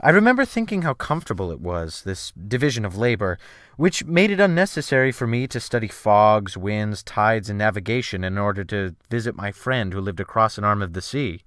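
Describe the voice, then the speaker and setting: A man narrating a literary audiobook in the first person, with formal, theatrical, measured phrasing. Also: fairly low pitch, big pitch swings, fairly bright tone, no room sound, studio quality.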